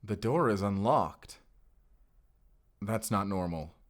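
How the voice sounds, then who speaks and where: A man's voice: on the low side, animated, slow pace. A man playing a wary, protective vampire slayer in an audio roleplay, speaking closely to the listener. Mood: calling out. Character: mysterious Spanish male vampire slayer, earnest, protective, wary. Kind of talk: cinematic audio roleplay acting.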